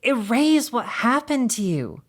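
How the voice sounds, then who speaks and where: A woman's voice: on the high side, very animated, unhurried, no room sound, studio quality. A woman playing an anxious, persistent doctor who works in communications, hesitant and earnest in a naturalistic audio drama. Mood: anxious, pleading.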